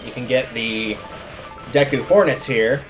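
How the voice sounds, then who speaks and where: A man's voice: medium pitch, unhurried, poor audio. Male game streamer, mid-range voice, casual rambling explainer style, light music underneath. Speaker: livestreamer. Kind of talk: casual video game livestream commentary.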